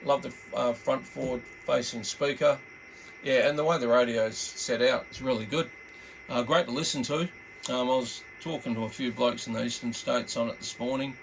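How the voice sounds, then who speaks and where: A middle-aged or older Australian man giving a casual, unscripted product walkthrough in a laid-back, halting, rambling way. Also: medium pitch, fairly even intonation, vocal fry, a little hiss or hum, low-quality recording. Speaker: hobbyist radio reviewer.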